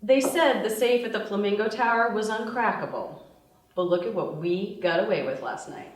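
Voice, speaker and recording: A woman's voice: medium pitch, normal speed, close to an everyday voice. Woman acting a brash young woman thief, very echoey room. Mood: cocky, casual. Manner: foul-mouthed, blunt. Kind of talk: screenplay table read. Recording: echoey room, distant mic.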